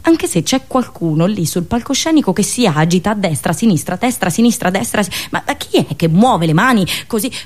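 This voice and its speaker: A woman radio host delivering a theatrical, satirical monologue with ironic, deliberate phrasing and repetitions. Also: mid-range voice, animated, a little mellow.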